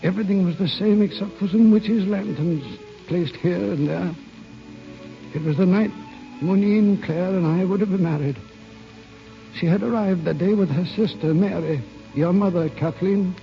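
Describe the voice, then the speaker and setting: An older man acting a stern, grieving elderly uncle in a vintage radio drama, with measured, formal, dramatic delivery. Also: high voice, gravelly. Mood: wistful reminiscence. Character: stern, grieving elderly guardian uncle, wistful about the past. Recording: muffled old radio broadcast, music behind.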